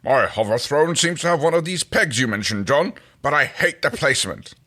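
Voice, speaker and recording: A man's voice: a little high, lively intonation, normal speed, sharp tone, voice pushed up. A man voicing a pompous little male alien royal with a haughty, fussy manner in a story reading. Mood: affronted.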